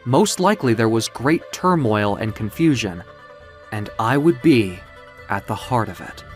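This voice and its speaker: A narrator telling a dramatic story in a measured, literary, theatrical way, as in an audio comic book. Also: mid-range voice, expressive, faint music behind.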